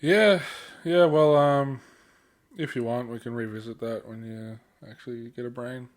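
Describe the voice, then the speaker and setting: A man's voice: medium pitch, measured pace. A young man co-hosting a podcast, talking casually and candidly with slang and self-corrections. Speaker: podcast co-host. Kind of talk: casual film discussion.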